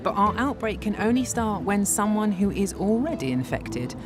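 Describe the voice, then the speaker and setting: A woman presenting a documentary in clear, measured scripted narration. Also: mid-range voice, very animated. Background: background music.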